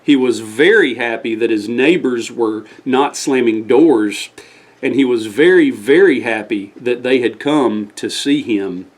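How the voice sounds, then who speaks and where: A man's voice: medium pitch, measured pace. A man reading a scripted bedtime story aloud as the storyteller.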